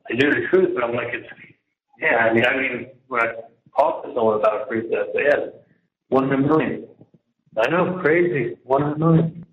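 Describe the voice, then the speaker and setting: Over a dull, echoey jail phone line with some background noise, a man with a mid-pitched voice talks in a casual, rambling way. He is emphatic, circling back over his phrases and breaking off mid-sentence as he vents. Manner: emphatic, repetitive, venting, trailing off mid-sentence. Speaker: prisoner on a jail phone call. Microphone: telephone handset.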